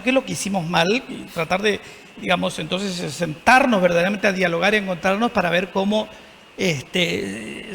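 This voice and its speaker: A man's voice: sits high, vocal fry, a bit bright, in a large hall, slight background noise. A man with an Argentine accent, a priest speaking earnestly and reflectively in a TV panel discussion. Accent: Argentine Spanish.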